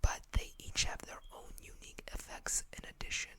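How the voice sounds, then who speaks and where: Bright whispering ASMR host. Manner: unhurried, reading card text aloud with small asides. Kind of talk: whispered ASMR card showcase.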